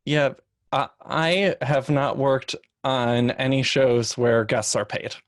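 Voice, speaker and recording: A man giving casual advice as a panelist, informal and explanatory, often halting to rephrase himself. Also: medium pitch, steady tone, dry recording, clean studio sound. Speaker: expert panelist. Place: online video call.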